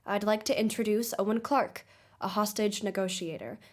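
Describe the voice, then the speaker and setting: A woman playing a composed investigative podcast host, with measured, formal delivery in a scripted audio drama documentary. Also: medium pitch, natural voice. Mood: inquisitive, courteous.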